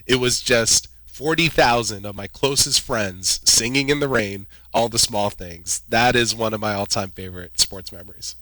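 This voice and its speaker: A man's voice: on the low side, moderate pace, hardly any pauses, no room sound, low-quality recording. A young man, a podcast guest, telling personal stories in a vivid play-by-play way. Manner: vivid, excited play-by-play retelling with laughs. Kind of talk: animated personal storytelling.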